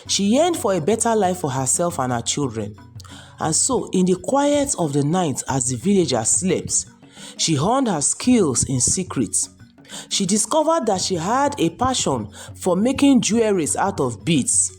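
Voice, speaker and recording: Low-voiced, expressive woman storyteller. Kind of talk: scripted folktale narration. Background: music behind the voice.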